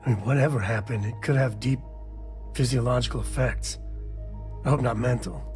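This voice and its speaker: A man's voice: medium pitch, moderate pace, a little mellow, natural voice. An actor playing an easygoing, plain-spoken male spacefaring companion who is concerned for a friend, with faint game music behind. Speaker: companion character. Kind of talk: video game voice acting.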